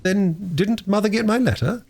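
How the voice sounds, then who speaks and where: A man voicing a gentle, weary father in a storybook reading, speaking quietly and tenderly. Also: sits high, moderate pace, pitched above the performer's own voice. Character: gentle, tired father, a tall pale man.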